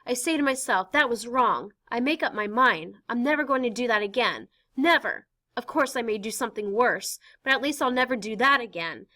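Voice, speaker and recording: An anxious, intense teenage girl in hiding, as a woman reading a play puts her on. Her voice sits high and bright, pouring out pleading, confessional words. It is a stage play read aloud. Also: expressive, normal speed, few pauses.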